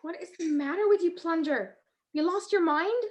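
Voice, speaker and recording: A woman playing a quick-witted, wry amateur sleuth in a radio-style mystery, with a teasing manner. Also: very high voice, darkish tone, highs cut off. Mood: alarmed. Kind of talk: 1940s radio mystery acting.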